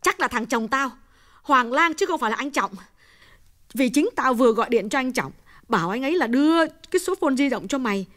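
A man voicing a distraught young wife in a high, fretful falsetto. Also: fairly bright tone. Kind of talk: audiobook character voice.

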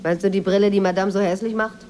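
An actress in a radio drama playing a watchful maid, deferential on the surface but measured and needling. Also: female voice, medium pitch, steady tone, mellow, a little hiss or hum, close to an everyday voice. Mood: needling, defiant. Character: watchful, insinuating Moroccan maid, outwardly deferential, quietly defiant. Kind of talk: 1980s German radio drama acting.

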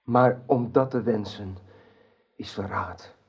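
Muffled radio play sound of an honourable knight torn by sorrow. His husky mid-range voice moves slowly and earnestly. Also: lowish voice, darkish tone, exaggerated voice. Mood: troubled, sorrowful. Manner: grave, earnest. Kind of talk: radio drama acting. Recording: dull lo-fi old radio recording.

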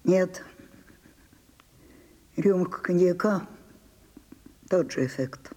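Grand aging stage diva for radio play: weary, capricious, commanding, unhurried, soft dark tone. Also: female voice, fairly low pitch. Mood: stubborn, demanding. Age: older. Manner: theatrical, commanding, dramatic pauses. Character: imperious, theatrical old French actress, weary and capricious. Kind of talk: Soviet radio drama acting.

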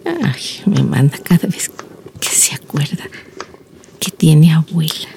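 An actress playing a lonely, wistful old blind village grandmother in a radio drama monologue, breathy and creaky, reflecting with sighs and long pauses. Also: low voice, sing-song, mellow, in a whisper, heavily acted. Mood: sad, reminiscing. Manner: slow reflective storytelling, sighing interjections, long pauses.

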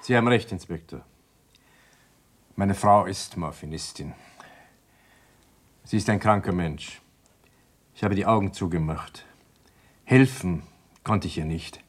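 Guilt-ridden doctor, low-voiced. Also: male voice, expressive. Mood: resigned, anguished. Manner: halting, weary confession. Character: resigned, guilt-ridden doctor, husband of a suspect. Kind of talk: 1960s German radio crime drama acting.

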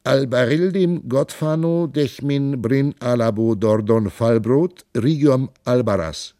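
A man voicing a ragged, clever wandering stranger in an audiobook reading, talking slowly and drawing out strange foreign phrases. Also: medium pitch, dark tone, no echo, clean studio sound, pitched above the performer's own voice, lightly acted. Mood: pleading, grandiloquent. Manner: slow, drawn-out, babbling in foreign tongues.

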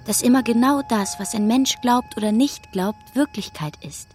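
A woman's voice: on the high side, normal speed, a little mellow, soft background music, lightly acted. An actress playing a curious, dreamy young girl who speaks frankly and simply in a German radio play. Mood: curious, earnest.

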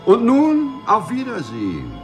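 Gentleman prisoner, 1950s radio play: precise, polite, dreamy, hugely expressive intonation, music in the recording. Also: very high-pitched, measured pace, full character voice. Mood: courteous, self-assured. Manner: formal, courteous, precise, savouring words.

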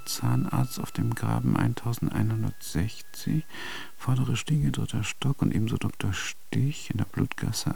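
An actor playing a brooding composer reading and musing to himself in a radio drama, gravelly and husky, deliberate and formal. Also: male voice, lowish voice, darkish tone, exaggerated voice. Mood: reciting.

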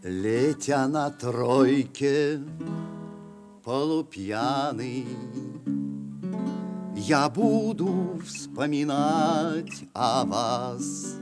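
An actor playing a reluctant, deferential drinking companion in an audio play, apologetic and pleading in manner. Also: male voice, mid-range voice, animated, very slow, pauses often, over loud music. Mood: sentimental singing. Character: deferential, reluctant drinking companion who sings romances to guitar. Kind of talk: theatrical audio play of a classic short story.

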